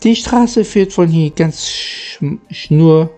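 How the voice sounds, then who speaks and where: A man reading the part of a polite, earnest guide who explains gravely and formally, halting at times, in a storybook. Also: mid-range voice, warm tone, faint music behind. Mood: earnest warning.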